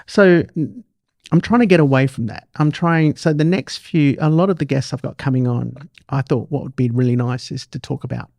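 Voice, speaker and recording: A man's voice: medium pitch, moderate pace, creaky, darkish tone, no echo, studio quality. A man hosting a show, speaking casually and conversationally with his guest. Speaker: podcast host.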